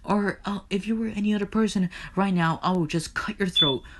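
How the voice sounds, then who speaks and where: A man's voice: a little high, very animated, normal speed, voice pushed up. Man acting out enraged, foul-mouthed underworld god. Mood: enraged, exasperated. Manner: threatening, swearing, repeating himself.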